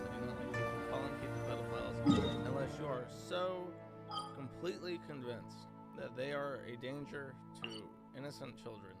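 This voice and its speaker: A man giving a casual spoken commentary as a host, in halting, stop-start phrasing. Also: medium pitch. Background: music.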